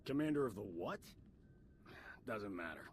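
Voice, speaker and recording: A man's voice: lowish voice, expressive, unhurried, slight background noise. A man playing a skeptical, grumbling doctor in a video game, blunt, casual and sardonic. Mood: dismissive. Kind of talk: video game cutscene acting.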